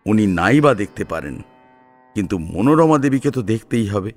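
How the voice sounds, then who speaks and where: A man narrating a scripted story in an expressive, dramatic storytelling manner. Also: on the low side, moderate pace, soft background music. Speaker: narrator and co-presenter.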